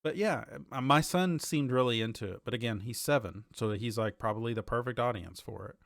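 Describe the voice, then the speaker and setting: A man's voice: mid-range voice, brisk, creaky, a bit bright, no echo. Casual man podcasting, dry close sound. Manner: informal, conversational, thinking aloud. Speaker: podcast host. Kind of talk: casual chat about TV and games.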